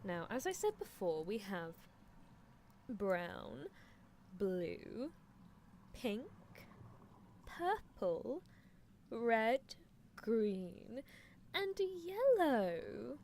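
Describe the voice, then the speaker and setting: A woman's voice: a little high, very animated, very slow, long pauses, a little mellow, slight background noise, exaggerated voice. A woman voicing an obsessive, teasing mad-scientist girl in a roleplay ASMR, coaxing and clinical with a captive test subject. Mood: playful, coaxing.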